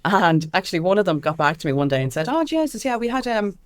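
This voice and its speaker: An Irish woman being interviewed as a campaigner, speaking in an animated, anecdotal way, repeating phrases for emphasis and acting out quoted exchanges. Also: fairly low pitch, fast. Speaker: interview guest, music equality campaigner.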